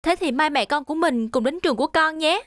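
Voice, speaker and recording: A woman voicing a tender, hardworking mother in an animated tale, soft and reassuring. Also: high voice, fast, no echo. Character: tender, hardworking, self-sacrificing mother of a schoolboy. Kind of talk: animated fairy-tale dubbing.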